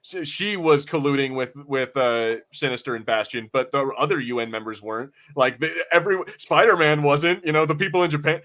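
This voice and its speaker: A man co-hosting a podcast, talking in a casual, slangy, animated way with some swearing. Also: fairly high pitch, brisk, few pauses. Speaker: podcast host discussing a show. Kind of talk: casual group discussion with swearing.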